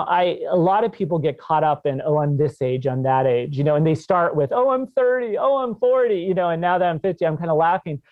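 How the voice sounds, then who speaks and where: A man's voice: on the high side, no room sound, lo-fi sound. A man in his fifties, a guest author on a podcast interview, talking with enthusiasm and laughing often. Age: 50s.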